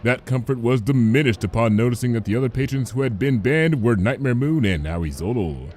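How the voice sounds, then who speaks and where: A man's voice: mid-range voice, expressive, brisk, a little mellow, a little hiss or hum. A man reading a story aloud as narrator, in a scripted storybook style. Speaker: fan fiction reader, narrator.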